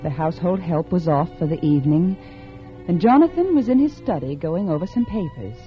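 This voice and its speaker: Scheming wife in love with another man: low, earnest, dramatic, vintage radio sound, dull with music behind. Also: big pitch swings, brisk. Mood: confiding, calculating. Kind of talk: mid-century radio drama acting, confessional narration and dialogue.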